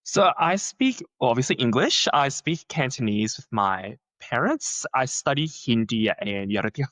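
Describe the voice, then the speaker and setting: A young man who is a researcher, talking in a chatty, animated way and filling his sentences with "like.". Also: normal speed, no echo. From Australia. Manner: chatty, many "like" fillers, lively swings in pitch. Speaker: researcher, podcast guest. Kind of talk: casual conversational interview.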